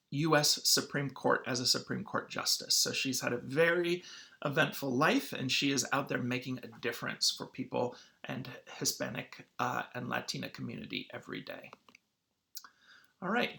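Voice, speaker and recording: Male teacher for a children's read-aloud lesson. Bright, forward voice at average pitch, informal and halting, with frequent throat clears. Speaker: schoolteacher.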